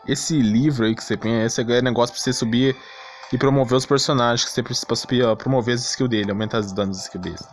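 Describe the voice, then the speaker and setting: A man's voice: medium pitch, steady tone, quick pace. A man with a Brazilian accent giving casual, slangy, explanatory live commentary as a gaming streamer. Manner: slangy, explanatory, asks and answers own questions. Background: game music.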